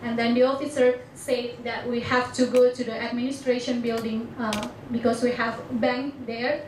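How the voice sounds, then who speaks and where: Young indonesian woman, batak native, halting english, high voice, distant mic, noisy echoey hall. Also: little pitch movement. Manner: halting, searching for words in English. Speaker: international student speaker. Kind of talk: personal presentation to an audience.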